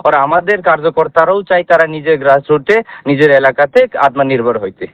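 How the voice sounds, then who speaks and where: A man's voice: fairly high pitch, a little fast, no echo. A man with a Tripura Bengali accent giving interview answers as an election candidate over the phone, mixing in English phrases. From Tripura, India. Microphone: telephone. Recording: dull lo-fi phone line.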